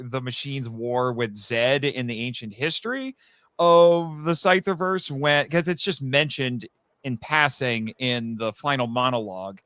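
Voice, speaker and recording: Clear-voiced man chatting as a podcast guest. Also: mid-range voice, pushed and bright, no echo. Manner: chatty, rambling fan talk with frequent asides. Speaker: podcast guest, audio drama writer. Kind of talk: casual chat about an audio drama.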